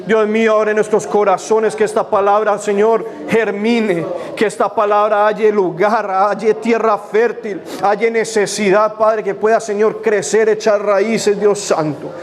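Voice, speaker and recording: A man's voice: high voice, flat delivery, a little slow, hardly any pauses. A man leading a church service, first praying fervently with repeated invocations, then telling a personal story in a loose, conversational way. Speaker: pastor. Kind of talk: sermon with opening prayer and testimony.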